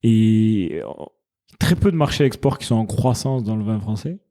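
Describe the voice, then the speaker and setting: Mellow-voiced man explaining his venture. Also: fairly low pitch, lively intonation, normal speed. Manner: earnest, explanatory, thinks aloud mid-sentence. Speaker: founder of a wine company, podcast guest. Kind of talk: casual interview chat.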